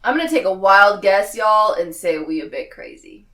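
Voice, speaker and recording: A woman's voice: medium pitch, big pitch swings, normal speed, bright, forceful tone. A woman tossing wry, quippy remarks into a casual tabletop roleplaying session. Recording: roomy, distant mic, faint noise.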